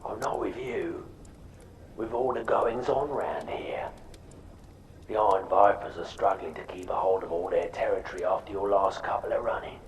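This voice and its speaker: An actor playing a sly, gruff underworld informant in a video game, with a breathy, whispery growl and a conspiratorial manner. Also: male voice, sits low, normal speed, reverberant, far from the mic, a little hiss or hum, natural voice. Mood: sly, informative. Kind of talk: video game character acting.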